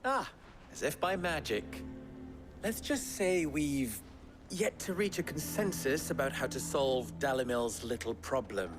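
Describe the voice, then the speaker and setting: An actor playing a wry, wordy, sardonic fixer from a desert town in a video game cutscene. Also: male voice, medium pitch, lively intonation, a little slow, lightly acted. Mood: wry, measured. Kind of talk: dramatic game cutscene acting. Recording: music behind the voice. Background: clear background music.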